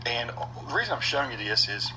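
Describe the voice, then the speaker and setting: A man's voice: medium pitch, big pitch swings, brisk, sharp tone, faint music behind, low-quality recording. A middle-aged man, narrating his own footage in a casual, rambling way with many fillers and restarts. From North Carolina mountains, US.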